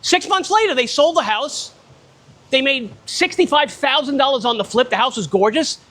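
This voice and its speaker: A man with a loud voice giving a casual, blunt talk at an investor meetup, speaking without a microphone in a restaurant. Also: very high-pitched, lively intonation, moderate pace. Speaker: guest speaker on private lending. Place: restaurant event space in the rain.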